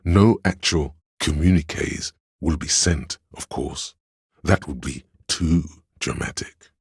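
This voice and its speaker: An actor playing a flamboyant, sly inner voice of drama in a video game, speaking like a courtly servant with a theatrical, arch manner and a husky, gravelly delivery. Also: male voice, very deep voice, takes it slowly, no room sound, studio quality, heavily acted. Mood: dryly teasing.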